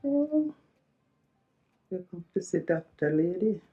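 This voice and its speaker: An actress playing an ailing Jamaican woman in a hospital bed, speaking in a hushed, breathy whisper with a Jamaican accent. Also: on the low side, big pitch swings, slow, phone-quality sound, soft background music, exaggerated voice. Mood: teasing. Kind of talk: film drama dialogue.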